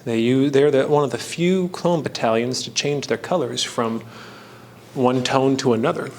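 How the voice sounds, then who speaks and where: A man with a mid-pitched voice hosts a hobby craft video. He talks through the steps informally, in short halting phrases with jokey asides and bits of trivia. Clear ambient noise sits behind his voice. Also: darkish tone. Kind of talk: casual tutorial narration with fun facts.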